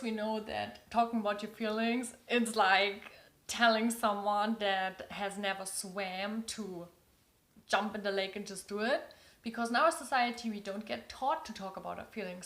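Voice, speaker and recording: Woman, German accent, non-native English, earnest advice, repeats words for emphasis, mid pitch, echoey room. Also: fairly bright tone. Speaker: relationship coach. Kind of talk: advice talk to camera.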